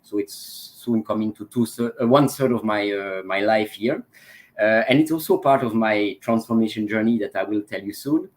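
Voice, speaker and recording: A man's voice: on the low side, normal speed. Man, forties, from Belgium, interview guest, hesitant, many fillers, restarts. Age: 40s. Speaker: guest, transformation coach. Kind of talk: casual interview talk.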